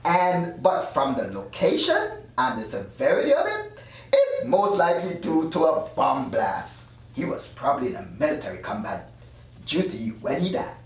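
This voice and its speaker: A man's voice: a little high, huge pitch swings, moderate pace. A man playing an evasive afterlife doctor in his thirties, read at a script table read. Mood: explaining. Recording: very echoey room, distant mic.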